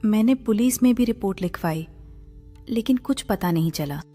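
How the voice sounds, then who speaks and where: A woman voicing a struggling village mother, speaking softly and gently over faint music. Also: medium pitch, animated, moderate pace, voice pushed up, close to an everyday voice. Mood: gentle.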